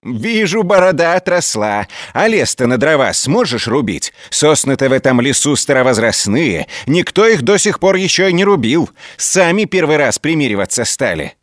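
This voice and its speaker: Blustering foreman acted by a male storyteller, scornful, boastful, folksy, raised swooping pitch, dry sound. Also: sing-song, moderate pace, hardly any pauses, no room sound. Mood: scornful challenge. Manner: rude, scornful, boastful folk talk. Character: blustering, mocking older lumber crew foreman, a man.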